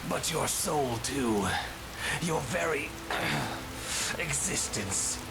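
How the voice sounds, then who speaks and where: An actor playing a contemptuous middle-aged stepfather who serves a dark master, speaking slowly with a gravelly voice over loud game music. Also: on the high side, animated, full character voice, low-quality recording. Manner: contemptuous, slow and cold. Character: cold scheming stepfather cultist, middle-aged man.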